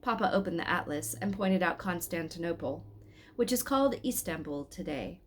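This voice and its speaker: A woman reading a children's story aloud in an expressive style. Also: on the low side, normal speed, slight background noise.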